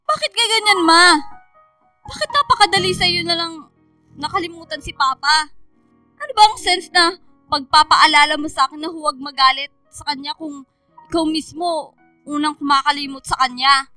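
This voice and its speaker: A headstrong Filipino teenage daughter, acted by a woman, in a radio drama. She is whiny and quick to argue, with a high, bright voice over quiet music. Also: no echo. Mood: hurt, accusing. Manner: whiny, argumentative. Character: headstrong, pouty teenage daughter, fifteen to eighteen. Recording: music bed underneath.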